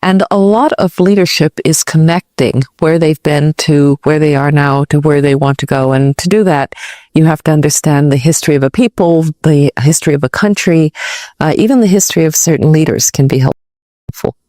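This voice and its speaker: A woman's voice: deep voice, moderate pace, mellow, no echo. Woman politician, firm and decisive, interview answers recorded dry and close.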